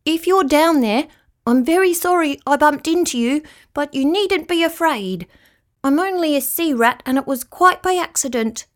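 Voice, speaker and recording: Woman voicing a polite, high-voiced little sea rat. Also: big pitch swings, unhurried. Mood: apologetic, friendly. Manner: earnest, apologetic, easily puzzled. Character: polite, apologetic, wondering young sea rat. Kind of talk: children's storybook character voice.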